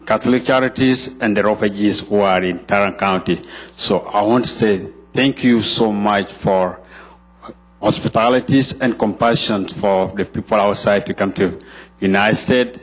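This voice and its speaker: A man's voice: lowish voice, normal speed, rough recording. A man from Sudan who speaks as an added language, thanking a county court in earnest, nonnative phrasing. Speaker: refugee guest speaker.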